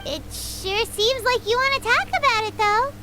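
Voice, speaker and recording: An actress voicing a tiny, cheeky, chatty fairy girl companion in a video game, with a squeaky childlike voice. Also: moderate pace, noisy background. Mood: surprised, nosy. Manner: chatty, excitable, refers to herself by name.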